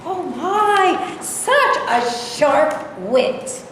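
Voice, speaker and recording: A woman plays a scornful, sarcastic wife with a high voice, delivering cutting comebacks in a stage play. The recording rings with heavy room echo and faint noise. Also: lively intonation, drawn-out words, fairly bright tone, heavily acted. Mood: dry, mocking. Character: disgusted, sarcastic married woman, scornful of men.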